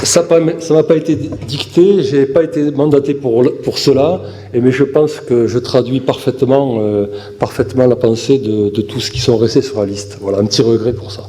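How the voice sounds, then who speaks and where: A man in his fifties speaks at a campaign meeting, his mellow, mid-pitched voice pausing on fillers and starting sentences over. The long reverb of a meeting hall trails after each phrase, and faint room noise sits underneath. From southern France. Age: 50s. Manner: halting, with fillers and restarts. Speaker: municipal election candidate. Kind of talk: campaign speech to a local audience.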